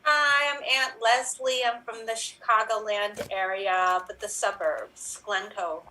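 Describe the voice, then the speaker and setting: A woman's voice: mid-range voice, steady tone, unhurried, bright, forceful tone, a little hiss or hum, rough recording. A woman playing a chatty, bossy suburban aunt.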